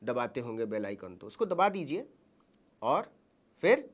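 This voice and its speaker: Lively, mocking woman news commentator. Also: a bass voice, unhurried. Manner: mocking, theatrical delivery. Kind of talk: satirical political commentary.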